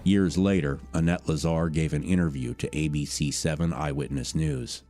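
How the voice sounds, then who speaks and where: A man narrating a scripted true-crime podcast in a measured, dramatic storytelling manner. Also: rumbling low, fairly even intonation. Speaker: narrator, voice actor. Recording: music bed behind narration. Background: music.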